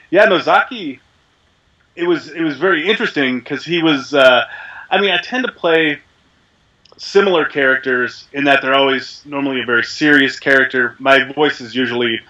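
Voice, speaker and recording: A man who is a voice actor, talking casually in an interview with a deep, resonant voice while a little sick, rambling with many fillers. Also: pushed and bright, muffled recording. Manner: chatty, rambling, self-deprecating with frequent fillers. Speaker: voice actor guest.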